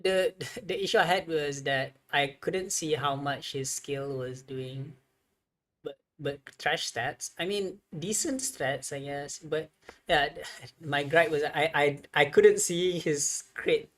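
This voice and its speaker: A game streamer chats casually during a livestream in a medium-pitched voice. The delivery is unscripted and rambling, thinking out loud. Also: slow. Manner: rambling, musing aloud.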